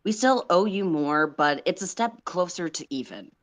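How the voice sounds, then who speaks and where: A woman acts out a male commanding officer in a story reading. He sounds measured and matter-of-fact. He is grateful, pragmatic and businesslike. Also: fairly low pitch, lively intonation, voice pushed up, lightly acted.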